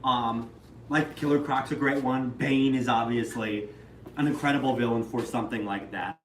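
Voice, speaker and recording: A man's voice: mid-range voice, steady tone, normal speed, fairly bright tone, a little hiss or hum. A young man chatting casually on a livestream, enthusiastic and talkative, swearing easily and acting out characters. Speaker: livestream co-host. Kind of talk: casual chat. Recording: echoey room, mic at a distance.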